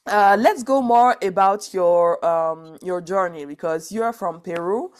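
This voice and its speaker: A woman's voice: on the low side, a little slow, clear, resonant tone. Chatty laughing woman host. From Nigeria. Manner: animated, laughs often, many fillers and restarts. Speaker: podcast host. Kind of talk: casual chat.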